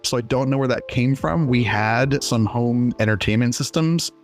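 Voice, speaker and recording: A man's voice: mid-range voice, moderate pace, faint music behind. A man in his late forties, a developer telling his story in a casual, rambling, self-deprecating interview. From USA. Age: 40s. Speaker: indie game developer guest.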